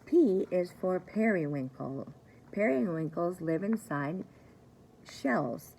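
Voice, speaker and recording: A woman reading aloud for young children like a preschool teacher, in a simple, deliberate way, repeating key words. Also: fairly low pitch, lively intonation, gravelly, warm tone, slight background noise, rough recording. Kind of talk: children's story time read-aloud.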